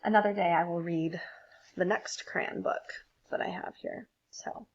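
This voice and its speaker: A woman's voice: on the low side, fairly even intonation, a little slow, gravelly, highs cut off. A woman reading a picture book aloud as a storyteller.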